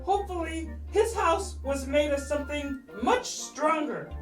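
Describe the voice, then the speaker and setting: A woman's voice: sits high, unhurried, reverberant, recorded from a distance, soft background music, poor audio. A woman telling a children's story in a dramatic, playful way, drawing out key words.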